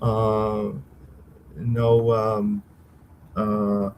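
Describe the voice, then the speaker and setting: Hesitant man, pausing for words. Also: fairly low pitch, monotone, darkish tone, slight background noise. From Israel. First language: Hebrew. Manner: halting, searches for words, many pauses. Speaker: interview guest, uncle of a victim. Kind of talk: conversational interview answers.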